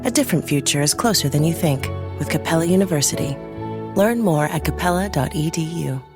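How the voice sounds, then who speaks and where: Low-voiced woman ad announcer over music. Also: lively intonation, fairly quick. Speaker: advertisement announcer. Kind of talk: scripted ad read.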